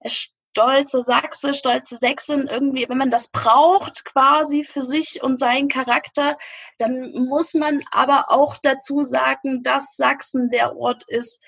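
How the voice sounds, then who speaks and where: High, husky woman on a dry, dull mic. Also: no echo. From Saxony, Germany. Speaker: podcast co-host. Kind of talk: conversational podcast discussion. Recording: dry, slightly dull sound.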